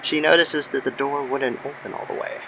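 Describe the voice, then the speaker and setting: A man's voice: medium pitch, fairly even intonation, normal speed. A man narrating a scripted horror story as the storyteller of an audio drama. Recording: clear background noise under the voice.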